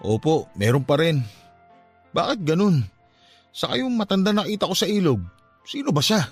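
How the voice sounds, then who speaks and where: A man's voice: on the high side, very animated, moderate pace, breathy edge, faint music behind, exaggerated voice. A man playing a troubled, stubborn, grieving young nephew in an emotional Tagalog radio drama. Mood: uneasy, subdued. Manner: emotional, questioning.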